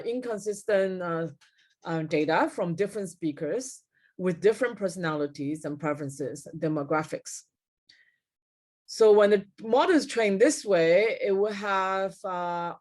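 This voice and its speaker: A middle-aged woman giving a technical keynote lecture over slides on a video call, pausing often with fillers and correcting herself mid-word. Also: medium pitch, a little mellow. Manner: frequent fillers and self-corrections. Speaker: keynote speaker. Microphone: webcam at desk, video call.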